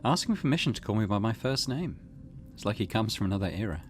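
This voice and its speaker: Casting: male gaming commentator, casual and musing, animated pitch movement, faint noise in the recording. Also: fast. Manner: musing, conversational. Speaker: let's play host. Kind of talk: casual game commentary, reading text aloud.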